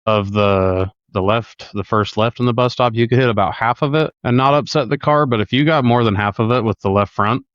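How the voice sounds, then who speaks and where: A man's voice: mid-range voice, fairly quick, hardly any pauses, a bit bright, no echo, highs cut off. A man co-hosting a casual sports podcast, talking in a rambling, conversational way. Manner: conversational, rambling, uses "like" often. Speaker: podcast co-host.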